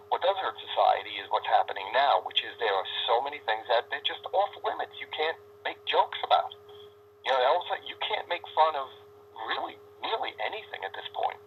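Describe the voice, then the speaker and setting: Opinionated guy on the phone, lively voice, kinda muffled lo-fi line. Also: mid-range voice, a little hiss or hum. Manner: opinionated, talks in quick bursts. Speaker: interview guest. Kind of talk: casual phone interview. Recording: dull phone-line sound.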